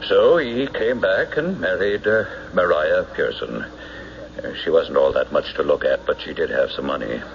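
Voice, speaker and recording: An actor playing a gossipy, hesitant American small-town banker in a radio drama, recalling things in a hemming, folksy way. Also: male voice, extremely low pitch, lively intonation, gravelly, full character voice. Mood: wry, hesitant. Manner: hemming, folksy, drawn-out recollection. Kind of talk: radio mystery drama acting. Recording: muffled, hissy old radio recording.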